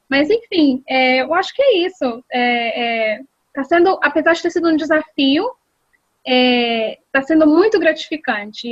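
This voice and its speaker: A woman's voice: high voice, a bit bright, no room sound, lo-fi sound. A Brazilian woman working as an executive secretary, answering interview questions thoughtfully with frequent fillers and restarts over a video call. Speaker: executive secretary and English teacher.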